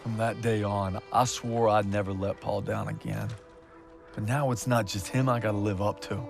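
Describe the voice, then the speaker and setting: An earnest young American soldier in a war game, haunted and determined. His low voice is gravelly, with faint music underneath. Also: steady tone, normal speed. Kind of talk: cinematic game narration.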